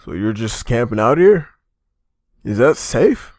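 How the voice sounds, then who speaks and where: A man's voice: mid-range voice, sing-song, measured pace, a little mellow, no echo, studio quality. A man playing a weary survivor, an anxious husband and father, in a dubbed comic drama. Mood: bewildered, worried.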